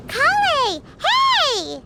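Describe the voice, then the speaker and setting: A woman voicing a tiny excitable, bossy childlike fairy companion in a video game, chirpy and talking about herself in the third person. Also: very high-pitched, drawn-out words, very noisy, exaggerated voice. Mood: excited. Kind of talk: video game character dialogue. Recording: bouncy music behind.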